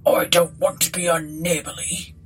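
A woman's voice: deep voice, fairly even intonation, moderate pace, soft background music, close to an everyday voice. A woman voicing a plain-spoken rustic English landlord with homely, puzzled country phrasing in a storybook reading. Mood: mildly upset.

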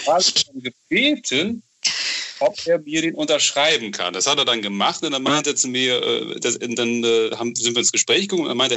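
A man with a clearly bright voice at an average pitch speaks as a guest in a casual interview. He is enthusiastic and halting, circling back over the beginnings of his phrases while he looks for the right word. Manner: excitable, halting, repeats phrase starts while searching for words. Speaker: podcast interview guest, novelist.

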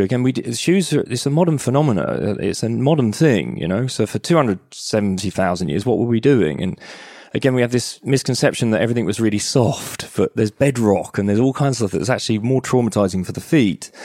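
A man, a guest on a podcast, who talks with enthusiasm and rambles, full of restarts and tag questions. His voice sits a bit low. The sound is close and dry. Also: darkish tone, no room sound. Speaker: guest, movement coach. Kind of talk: conversational podcast interview.

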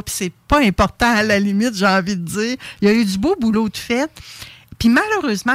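A woman's voice: mid-range voice, no room sound. A woman with a Québécois accent hosting a casual radio interview, chatty and enthusiastic. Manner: enthusiastic, chatty, quick bursts. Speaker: radio host.

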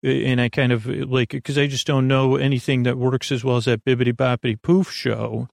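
Male podcast host, fry-heavy voice in a dry recording, wandering and hesitant bedtime rambling. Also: medium pitch, level tone, dry recording. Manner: meandering, halting, trails off into tangents and self-questioning. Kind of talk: rambling monologue for a sleep podcast.

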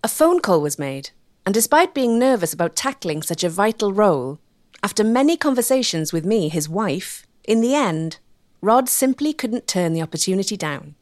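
Casting: female documentary narrator, scripted read with highly varied, expressive pitch. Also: normal speed.

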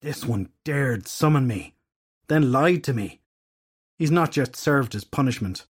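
A fierce fairy goddess, put on by a man reading a story, imperious and haughty. Her voice sits well above his own, with a husky edge. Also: medium pitch, expressive, measured pace.

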